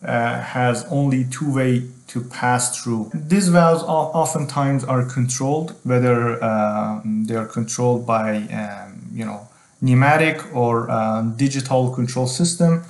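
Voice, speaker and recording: A middle-aged man gives a technical tutorial in a mid-pitched voice. His delivery is halting, with phrases often repeated as he explains. The recording is roomy and echoing, as if made at a computer in a small room. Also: steady tone. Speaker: tutorial instructor.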